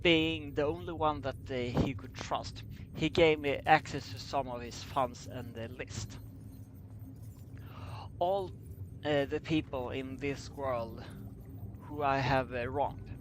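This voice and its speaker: A man reading a scripted story aloud as an amateur narrator, halting and stumbling over his words. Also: medium pitch, steady tone, noisy background. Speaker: amateur fan fiction reader.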